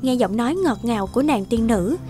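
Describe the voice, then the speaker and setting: A woman narrating a scripted children's fairy tale with expressive storytelling. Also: on the high side, brisk, faint music behind.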